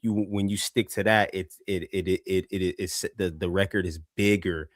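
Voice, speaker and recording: A man with a deep, somewhat monotone voice, casually reviewing a song on a live show with lots of fillers and restarts. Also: moderate pace, dry recording, clean studio sound. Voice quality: deep voice, flat delivery. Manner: rambling, many restarts and fillers. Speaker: co-host music reviewer.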